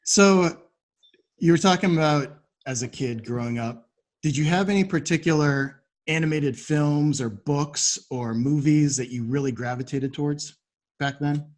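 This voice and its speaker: A man's voice: fairly high pitch, measured pace. A man hosting a podcast, asking his guest questions in a casual conversational style. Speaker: podcast host, interviewer.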